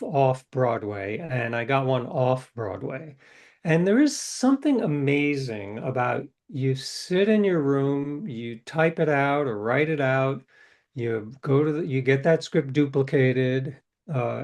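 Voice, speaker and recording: A man's voice: medium pitch, darkish tone. Older man, interview guest, rambling storyteller, voices quoted dialogue, self-correcting. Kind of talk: casual storytelling.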